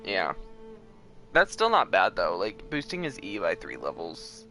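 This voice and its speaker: Lively male streamer chatting casually. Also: low voice, unhurried. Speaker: game streamer. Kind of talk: casual livestream chat while gaming. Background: loud game music.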